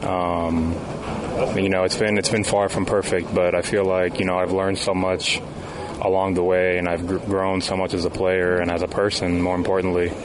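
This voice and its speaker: A man's voice: low voice, level tone, normal speed, crowd noise, rough recording. A young man from South Florida, a baseball player, answering interview questions with many ums and restarts. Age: 20s. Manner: hesitant, many fillers. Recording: outdoor noise behind.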